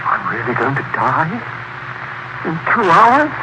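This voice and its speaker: An actor playing a psychologist turned killer in 1940s radio style, intense and melodramatic, self-pitying and halting when he pleads. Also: fairly high pitch, very animated. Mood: desperate, afraid. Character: psychologist turned murderer, volatile, self-pitying, desperate man. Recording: noisy old radio drama recording.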